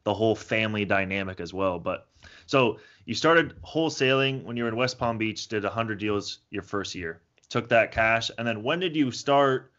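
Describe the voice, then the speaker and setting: A man's voice: fairly low pitch, normal speed, a bit bright, highs cut off. A young man hosting a podcast, affirming his guest and asking friendly questions. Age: young adult. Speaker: podcast host. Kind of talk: casual interview.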